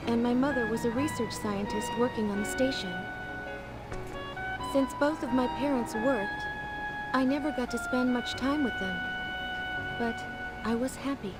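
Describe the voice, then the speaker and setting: Composed young woman voiced by an actress, loud music. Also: on the high side, unhurried, pauses often, close to an everyday voice, rough recording. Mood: reflective, serious. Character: composed young woman recounting her childhood, serious and guarded. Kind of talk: video game cutscene voice acting. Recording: game audio under loud music.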